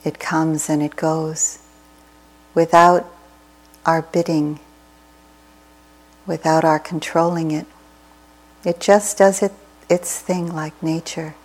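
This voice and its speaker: A woman teaching a guided meditation in short, measured, soothing phrases with long pauses between them. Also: low-pitched, little pitch movement, low-quality recording.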